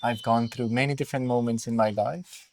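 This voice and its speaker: A middle-aged man from Colombia with a Colombian Spanish accent, a school director reflecting on his life in an unhurried conversational interview with many fillers. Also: medium pitch, steady tone.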